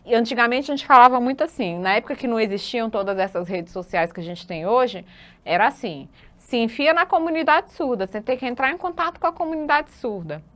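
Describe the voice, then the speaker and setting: Brazilian woman, online teacher, slightly high voice, patient and explanatory, video-call sound. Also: expressive, a little fast, fairly bright tone. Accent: Brazilian Portuguese. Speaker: sign language teacher. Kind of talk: online class, explaining to students.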